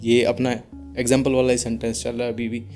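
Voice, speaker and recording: A young man with an Indian accent and a deep voice gives informal speaking advice calmly, without strain, mixing in English words and leaving deliberate pauses. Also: soft background music. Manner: calm, unforced, deliberate pauses; mixes English words into speech. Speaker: speaking coach. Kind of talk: informal advice talk to camera.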